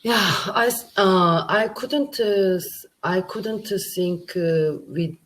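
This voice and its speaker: A woman, a low voice, and a very slow pace, practicing English in a lesson. She talks haltingly and often restarts while she searches for words. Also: fairly bright tone. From South Korea. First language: Korean. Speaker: English learner. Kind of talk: conversation lesson.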